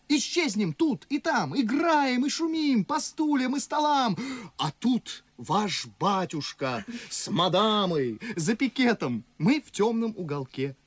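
A man's voice: high-pitched, no echo, heavily acted. An actor playing an ardent, witty, mocking young man in love in a verse radio play, with theatrical delivery. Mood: fond, nostalgic. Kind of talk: classic verse stage acting for radio. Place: radio studio.

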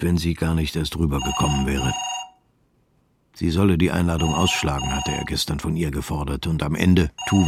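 A man reading the narration of a radio play in a calm, measured way. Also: extremely low pitch, noisy background. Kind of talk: scripted narration.

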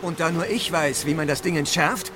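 A man's voice: a little high, moderate pace, background noise, lightly acted. A man playing a sneering, gloating villain with a mocking, smug manner in a German radio drama. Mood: defiant, calculating. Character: sneering, gloating male villain holding others at gunpoint.

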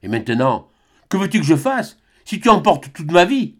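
A man reading aloud, voicing a young woman who pleads with a priest in a high, emotional voice. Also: sing-song, moderate pace. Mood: reproachful. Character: young woman, pleading, then bitter and scornful.

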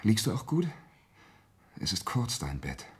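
An actor playing a tender, fanciful dreamer of a son in a radio drama, speaking in a lyrical, soothing, coaxing way. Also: male voice, lowish voice, wildly swooping pitch, unhurried. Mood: tender reminiscing. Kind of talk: theatrical radio drama acting.

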